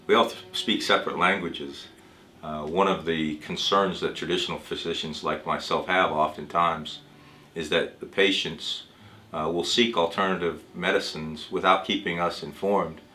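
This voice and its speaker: A man playing a reassuring physician in a role-played consultation, explaining things in a measured, hesitant way. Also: low voice, fairly even intonation, pushed and bright. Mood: earnest, explanatory. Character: reassuring male physician talking with a cancer patient. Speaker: doctor in a training dramatization. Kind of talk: role-played consultation, semi-improvised dialogue. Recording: roomy echo with music underneath.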